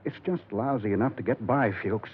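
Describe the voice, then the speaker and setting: An actor playing a jaded, corrupt detective, terse and resigned, in 1950s radio noir style. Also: male voice, lowish voice, moderate pace, breathy edge. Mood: resigned, persuasive. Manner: terse, evasive, subdued. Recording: noisy, tinny vintage 1950s radio recording.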